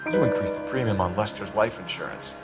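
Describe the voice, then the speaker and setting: Earnest American detective, animated pitch. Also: male voice, brisk, over loud music. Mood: stern, probing. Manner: formal, insistent. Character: earnest, accusing, by-the-book 1940s police detective. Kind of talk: dramatic video game acting.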